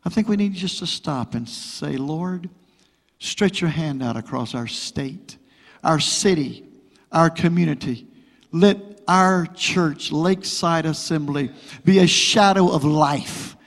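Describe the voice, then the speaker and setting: A middle-aged man preaching a sermon to a congregation, repeating phrases and building to loud, rising exhortation. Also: on the high side, slow. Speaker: guest preacher. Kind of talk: pentecostal sermon with prayer. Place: church sanctuary. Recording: long reverb of a church hall.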